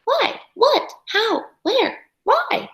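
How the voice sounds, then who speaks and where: A woman's voice: very high voice, big pitch swings, drawn-out words, fairly bright tone, voice pushed up, heavily acted. A woman voicing a relentlessly curious little girl from a storybook, firing off questions in an insistent, wide-eyed manner. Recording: echoey room.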